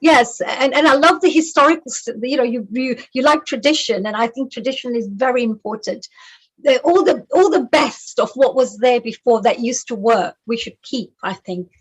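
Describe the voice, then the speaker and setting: A woman with a high, husky voice hosts an online panel. She talks in a chatty, warm way and restarts her sentences as she goes. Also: normal speed, poor audio. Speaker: host.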